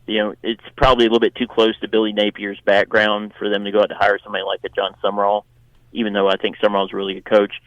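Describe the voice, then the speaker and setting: A man giving his take as a guest journalist in an unscripted sports radio interview, conversational and halting, restarting phrases as he goes. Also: fairly low pitch, completely flat delivery, a bit bright, dry recording, dull audio.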